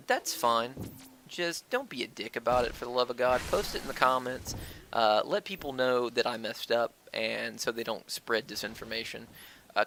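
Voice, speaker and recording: A man hosts a casual game playthrough in a bright, forceful voice with plenty of gravelly fry. He wanders off on tangents and swears freely. The audio comes from an old, slightly wonky stand-by microphone. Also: mid-range voice, slight background noise. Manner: rambling tangents, crude swearing. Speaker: gaming video host. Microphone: old stand-by mic.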